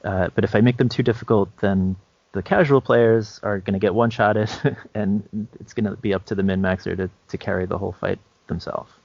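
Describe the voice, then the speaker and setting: A man with a lowish, creaky voice talks casually as a podcast co-host, easygoing and a bit hesitant. The sound is very dry and close, with no room around it. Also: steady tone, fairly quick, darkish tone, no echo, dull audio. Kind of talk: casual chat, explaining.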